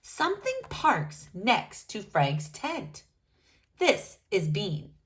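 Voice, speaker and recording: A woman reading a children's storybook aloud, narrating deliberately and expressively for young listeners. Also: medium pitch, wildly swooping pitch, fairly bright tone.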